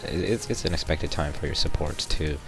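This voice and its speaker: A young man with a raspy voice, sick, gives a rambling gameplay walkthrough in a very low register. Loud music plays behind his voice-over. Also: brisk. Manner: rambling, many ums and restarts. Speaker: gameplay commentator. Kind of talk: casual gameplay tutorial voice-over.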